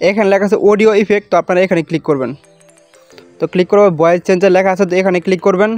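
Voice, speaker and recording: A man's voice: high voice, fairly even intonation, very fast, a little mellow, faint music behind. A man with a Bangladeshi accent hosting a casual step-by-step tutorial in colloquial, repetitive instructions. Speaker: tutorial video host.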